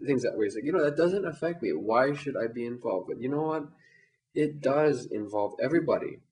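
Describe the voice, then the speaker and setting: A man from Maui, arguing his point in an animated, persuasive way, full of "you know". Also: mid-range voice, rough recording. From Maui, Hawaii. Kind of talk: casual interview talk.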